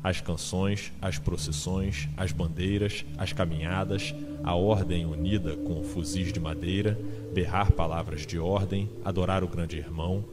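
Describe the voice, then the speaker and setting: A man with a low voice narrates a literary audiobook. His reading is dramatized and scripted, set over a clearly audible bed of ambient sound effects. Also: background noise.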